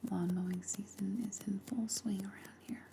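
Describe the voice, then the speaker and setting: A woman whispering softly and unhurriedly in an ASMR roleplay, gently instructing the listener as a makeup artist. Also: medium pitch, steady tone, moderate pace, a little hiss or hum. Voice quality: breathy whisper.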